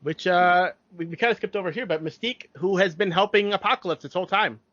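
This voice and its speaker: A man's voice: high-pitched, fast talker, fairly bright tone, no room sound, muffled recording. A man co-hosting a podcast, talking casually as he recaps a show. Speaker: podcast co-host. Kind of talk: casual chat recapping a TV cartoon.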